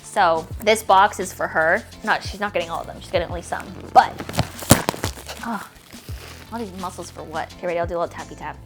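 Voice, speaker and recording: A woman's voice: on the low side, a little fast, over loud music. A 29-year-old woman, a fitness creator, talking casually and at length, peppering her speech with fillers and gushing over cute toys. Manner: chatty and rambling, full of "like" and "okay?"; gushing, squealy delight over little toys. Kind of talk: casual vlog Q&A and unboxing.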